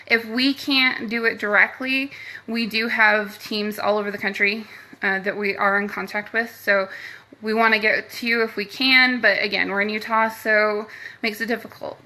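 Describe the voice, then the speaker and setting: A middle-aged American woman with a bright, forward voice talks casually to her followers. Her delivery is chatty and informal, drifting from one point to the next like an unscripted update. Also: mid-range voice, rough recording. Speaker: paranormal team host.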